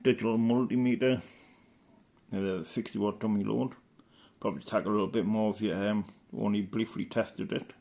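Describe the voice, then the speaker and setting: A man with a slightly deep, noticeably creaky voice talks casually as he browses and reads from a catalogue. He rambles and hesitates, thinking aloud between items. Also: fairly even intonation, normal speed, warm tone. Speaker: video presenter. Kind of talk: casual commentary while reading through an old catalogue.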